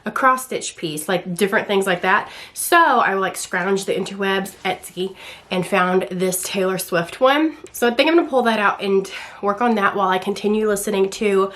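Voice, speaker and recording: A woman's voice: mid-range voice, normal speed, a bit bright. A young woman with a Southern American accent, chatting casually and ramblingly in slangy language for a vlog at home. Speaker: book and craft vlogger.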